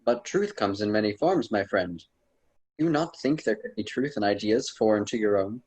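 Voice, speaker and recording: A man's voice: lowish voice, expressive, normal speed, darkish tone, breathy edge, rough recording. A man playing a patient ancient Roman poet and mentor in a radio drama, speaking in formal, old-fashioned phrases. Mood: patient, persuasive. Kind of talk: theatrical radio drama dialogue.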